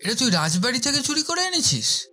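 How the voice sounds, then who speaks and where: A man's voice: very high voice, big pitch swings, a little fast, bright, forceful tone, pitched above the performer's own voice, exaggerated voice. A man voicing an anxious, questioning young male visitor, earnest and colloquial, in a horror cartoon. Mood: anxious, reproachful.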